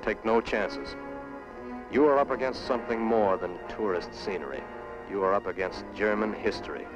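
A man with an American accent narrating a 1940s military propaganda film in a stern, clipped, emphatic way with dramatic pauses. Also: fairly low pitch. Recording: muffled 1940s film soundtrack with music.

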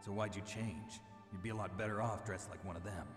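A low, gruff American male mercenary performed by an actor speaks in a video game cutscene with long reverb and music underneath. He is jaded and stoic, delivering terse, blunt lines in deadpan fashion. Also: a little fast, natural voice. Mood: dry, terse. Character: jaded, stoic male mercenary soldier, hard-bitten loner. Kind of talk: dramatic game cutscene dialogue.

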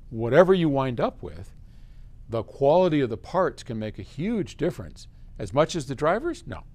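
Casual explainer: American male, 70s, conversational and emphatic, highly varied pitch. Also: darkish tone. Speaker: company chief answering viewer questions. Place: large open office lobby, indoors.

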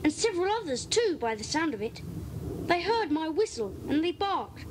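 Excitable young boy detective, high voice, swooping pitch, children's audio drama. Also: normal speed. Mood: eager. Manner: eager, excitable. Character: Colin, eager, excitable schoolboy detective. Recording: noisy old cassette transfer.

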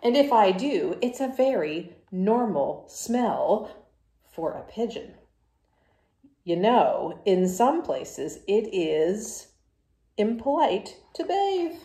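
A stubborn, melodramatic storybook pigeon , acted out by a woman reader, emphatic and childishly argumentative. The pitch jumps up and swoops, and the words ring in an echoey room. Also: very animated, slow, mellow. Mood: haughty, defensive. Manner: emphatic, exclaiming, protesting. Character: dirty, stubborn, dramatic cartoon pigeon who argues like a child.

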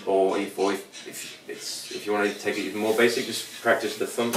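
A man in his forties, a bit hoarse, giving a casual, rambling guitar tutorial at home with many restarts. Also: on the low side, little pitch movement, fairly quick. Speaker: guitar teacher. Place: small cluttered room at home. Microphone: camera mic from a few feet away. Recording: echoey room, distant camera mic, guitar playing. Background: acoustic guitar playing.

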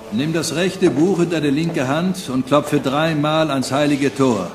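An actor playing a solemn secret-society member giving commanding, ceremonial orders in a documentary dramatization. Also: male voice, a little high.

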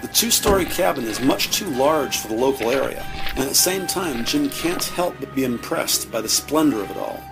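A man narrating an audio drama from a script, describing scenes in measured present-tense storytelling. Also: mid-range voice, animated, vocal fry, poor audio. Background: music.

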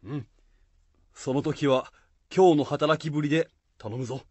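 A man's voice: fairly high pitch, a little slow, darkish tone, no room sound. A man playing a gracious, commanding samurai leader with lordly archaic speech in a period radio drama.

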